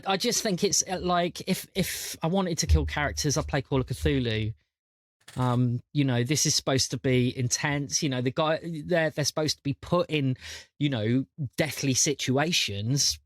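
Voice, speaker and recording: A man chatting casually as a podcast host and game master, often repeating words as he thinks out loud. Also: mid-range voice, normal speed, no room sound.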